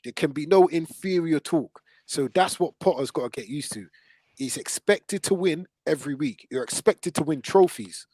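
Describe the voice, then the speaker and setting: A man's voice: fairly high pitch, normal speed, a little mellow, breathy edge, dry recording. A man with a London accent debating football casually and emphatically, repeating phrases to drive his points home. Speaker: football podcast host.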